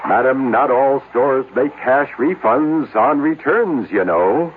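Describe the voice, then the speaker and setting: An actor playing a helpful, instructive consumer adviser in a scripted radio ad. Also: male voice, medium pitch, slow, very noisy, close to an everyday voice. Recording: tinny phone-like radio.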